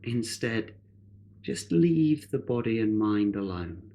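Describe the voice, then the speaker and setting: A man leading a guided meditation in a measured, unhurried way, leaving long pauses between phrases. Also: lowish voice, expressive, warm tone.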